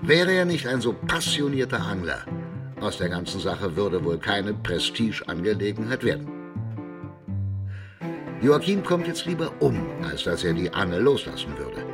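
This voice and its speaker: A man giving vivid, theatrical scripted narration for a radio play. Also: lowish voice. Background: music.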